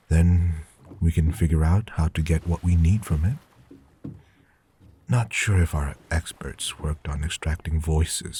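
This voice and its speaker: Man playing a slow, mellow guild hunter. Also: sits low, a little hiss or hum. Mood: calm curiosity. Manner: unhurried, casual, approving. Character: relaxed, encouraging male monster-hunters' guild member. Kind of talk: audio drama acting.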